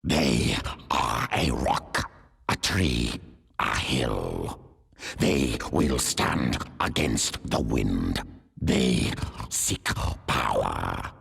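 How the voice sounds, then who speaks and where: An actor playing a cryptic primal alien pack leader who speaks in slow riddles. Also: male voice, rumbling low, big pitch swings, creaky, a bit bright, husky, exaggerated voice.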